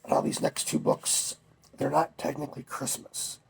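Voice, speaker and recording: A man's voice: on the high side, level tone, moderate pace, creaky, slightly rough. A young man talking casually, a little congested while he gets over a cold, rambling with fillers and restarts. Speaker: vlogger. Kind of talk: casual chat.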